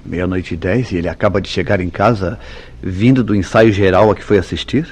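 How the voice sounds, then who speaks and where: A man's voice: deep voice, a little fast, darkish tone. A man playing an earnest, brooding newlywed husband in a vintage Brazilian radio soap, with theatrical, formal diction. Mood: suspicious, brooding. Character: tender but suspicious, brooding newlywed husband Mauro.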